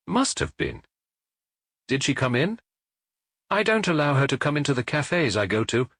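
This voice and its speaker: A man voicing a surly, rough sailor with a broken tooth in a detective audiobook, blunt and defiant. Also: fairly high pitch, sing-song, normal speed, a bit bright, no echo, studio quality, pitched above the performer's own voice. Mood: startled, grudging.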